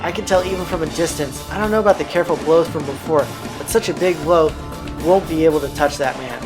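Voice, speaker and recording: A man with a slightly high voice reads visual novel narration aloud as a let's play host. A game soundtrack plays clearly behind him. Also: quick pace. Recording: music playing behind the voice.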